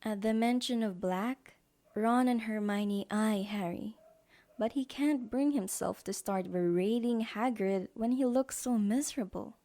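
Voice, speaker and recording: A woman's voice: fairly high pitch, measured pace, dark tone. A woman narrating a scripted audio drama as its storyteller.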